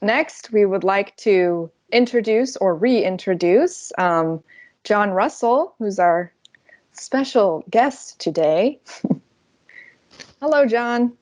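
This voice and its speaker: A woman's voice: medium pitch, expressive, drawn-out words, a little mellow, no room sound. A woman hosting an online class on a video call, welcoming each participant in turn. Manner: friendly, welcomes each participant with light laughs. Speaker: host.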